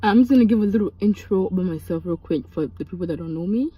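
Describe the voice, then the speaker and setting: A woman's voice: on the low side, fast talker, breathy edge, dry recording, muffled recording. A woman of twenty from Mali, raised in Senegal, telling a story in a chatty vlog from her car, swearing casually and breaking into asides. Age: 20s. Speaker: vlogger. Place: inside a parked car.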